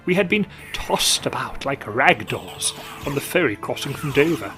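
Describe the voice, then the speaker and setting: Gentleman narrator, theatrical and measured, over steady music. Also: medium pitch, rough recording. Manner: theatrical, genteel, measured storytelling. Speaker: storyteller host. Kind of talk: scripted narration. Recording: music behind.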